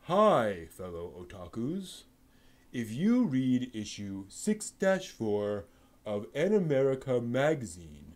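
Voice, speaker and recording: A man's voice: mid-range voice, darkish tone. A man giving a theatrical, comedic dramatic reading of an old forum post. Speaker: reader.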